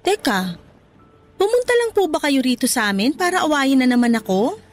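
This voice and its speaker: Radio-drama role: young mother, high-voiced, polite and pleading. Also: very animated, normal speed, faint music behind. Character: young new mother, patient and hurt. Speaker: actor.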